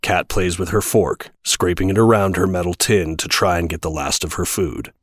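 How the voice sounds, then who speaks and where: A very low male voice narrates an audio drama from a script. The sound is close and dry. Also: fairly even intonation, normal speed, no room sound.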